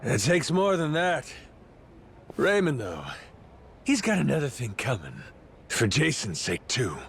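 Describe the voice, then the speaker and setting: A rough, loyal pirate captain from a video game, swaggering and slangy. His gravelly voice sits slightly high and moves around animatedly, over faint background ambience. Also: huge pitch swings, unhurried, a bit bright, slight background noise, heavily acted. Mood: defiant, determined. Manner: swaggering, slangy tough talk. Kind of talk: video game cutscene acting.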